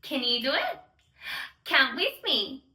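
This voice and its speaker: A woman playing a sweet, excitable young fairy who gushes in a sing-song way to little children as she hosts a bedtime story read-aloud. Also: sits high, slow, exaggerated voice. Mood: playful, excited. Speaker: children's storyteller and channel host. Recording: roomy echo, distant microphone.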